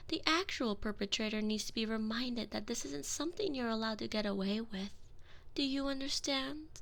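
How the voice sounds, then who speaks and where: A woman voicing a cold, elegant female vampire mistress who speaks slowly and measuredly, often dropping into a whisper, recorded on a binaural microphone. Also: fairly high pitch, gravelly. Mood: whispering, cruel. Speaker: audio roleplay performer. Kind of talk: binaural audio roleplay.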